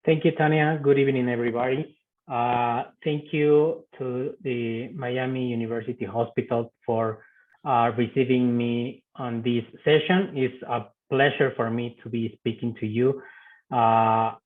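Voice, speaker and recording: A man from Mexico speaking English with a Mexican Spanish accent, giving an online medical talk in a halting way with many fillers and repeated words. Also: mid-range voice, fairly even intonation. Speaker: guest speaker, physician. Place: video call. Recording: tinny phone-like video call audio.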